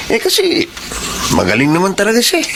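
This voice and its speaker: A man's voice: sits high, wildly swooping pitch, fairly quick, noisy background, full character voice. A man acting a gossiping, impressed office worker in a Filipino radio drama. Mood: impressed, chatty.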